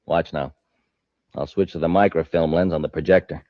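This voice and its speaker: An actor playing a smug, scheming, boastful crook in an old-time radio space serial. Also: male voice, extremely low pitch, fairly even intonation, normal speed, no room sound. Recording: dull lo-fi old radio recording.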